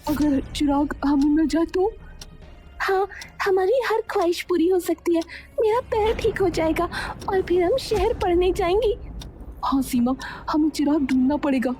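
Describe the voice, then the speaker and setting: A woman's voice: extremely high pitch, moderate pace, dark tone, pitched above the performer's own voice. A woman voicing an eager, daring young village girl in a cartoon story, with an urgent manner. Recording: music behind the voice.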